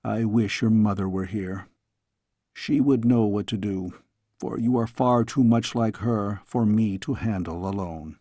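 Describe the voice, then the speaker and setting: A man voicing a fatherly storybook king, grave yet tender, with measured, formal speech. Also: on the low side, warm tone, no room sound, studio quality. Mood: wistful.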